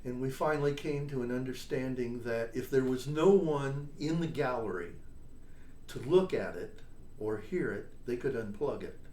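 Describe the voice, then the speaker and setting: A man's voice: mid-range voice, moderate pace, darkish tone. Older man telling stories in an echoey room. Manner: chatty storyteller, tags points with "all right?" and "you know?". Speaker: interview guest, trombonist and composer. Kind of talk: casual storytelling interview. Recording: echoey room, distant mic, faint noise.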